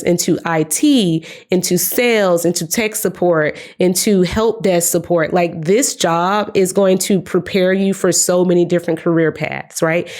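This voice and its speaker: A young woman talks to her viewers in a casual, upbeat vlog, her voice sitting a little low. She is hoarse and her voice is giving out, but she keeps chatting and calls her viewers "guys.". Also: a little mellow. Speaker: career advice vlogger. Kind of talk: casual talk to camera.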